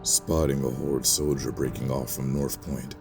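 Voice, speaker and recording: A man giving solemn, measured, scripted narration for a fantasy audio drama. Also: extremely low pitch, flat delivery, creaky. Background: music under the voice.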